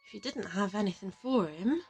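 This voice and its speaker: A woman's voice: medium pitch, lively intonation, fairly quick, darkish tone, breathy edge. A woman playing a confident, teasing interrogator from an assassin team in an audio roleplay, affectionate and boastful. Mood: gentle, knowing. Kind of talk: audio roleplay addressed to the listener.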